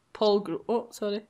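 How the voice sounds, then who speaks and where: A woman's voice: mid-range voice, warm tone. A playful, giggly woman hosting a gaming video, reading a visual novel aloud and bantering casually. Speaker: gaming video host, narrator.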